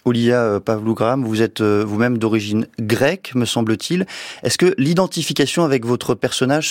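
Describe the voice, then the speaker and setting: A man hosting a measured radio interview, putting questions in a careful, articulate way. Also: medium pitch, no echo, clean studio sound.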